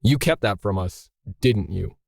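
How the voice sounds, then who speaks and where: A man's voice: on the low side, lively intonation, measured pace, gravelly, darkish tone, dry recording, heavily acted. Guy reader doing a resentful middle-aged guy in an audiobook, accusing and sarcastic, very dry sound. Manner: indignant, pressing, sarcastic. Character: bitter, accusing middle-aged male artist's partner.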